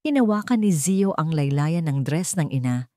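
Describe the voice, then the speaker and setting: A woman's voice: sits low, lively intonation, normal speed, warm tone, no room sound, studio quality. A woman narrating a dramatic romance story in a sentimental, emphatic storytelling manner.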